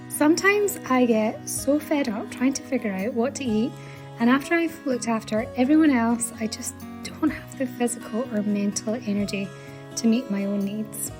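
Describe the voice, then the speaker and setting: A woman hosting a podcast, chatting enthusiastically and laughing often with her guest. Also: on the high side. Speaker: podcast host. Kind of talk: warm podcast interview.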